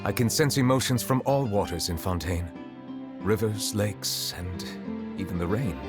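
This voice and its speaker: A man voicing a dignified, reserved chief justice in a video game, formal and composed, with measured wording. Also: on the low side, close to an everyday voice. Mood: reflective. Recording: music behind the voice.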